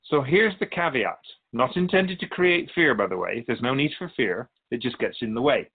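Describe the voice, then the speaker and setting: A wise, wry otherworldly keeper of souls acted by a man speaks in a radio drama with calm caution. His pitch moves expressively as he muses and instructs in measured phrases. The sound is a bit dull and lo-fi. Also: breathy edge. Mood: solemn, instructive. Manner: measured, didactic, musing pauses. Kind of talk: radio drama dialogue in interview form.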